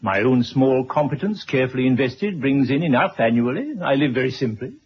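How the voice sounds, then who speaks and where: A man playing an older, retired gentleman in a radio drama, polite, precise and gently fussy, with a husky voice. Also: medium pitch, expressive, a little fast, a little hiss or hum, natural voice. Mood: earnest, gentle. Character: courteous, fussy elderly retired man writing a memoir. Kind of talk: 1970s radio drama acting. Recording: dull vintage radio recording.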